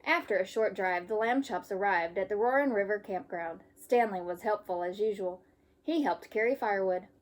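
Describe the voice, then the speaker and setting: A woman reading a children's story aloud like a teacher, with expressive storytelling. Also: mid-range voice, moderate pace.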